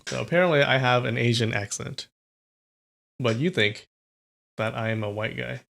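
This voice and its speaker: A man's voice: mid-range voice, moderate pace, gravelly, a bit bright. A young man from California reading a novel aloud on a livestream, chatting casually with viewers between passages.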